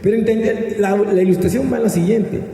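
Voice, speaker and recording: A man's voice: high-pitched, brisk, dark tone. Colombian male preacher in an echoey hall. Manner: didactic, prompting listeners to repeat and answer. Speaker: pastor preaching. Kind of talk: sermon with rhetorical questions and call-and-response. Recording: echoey hall with long reverb, background noise.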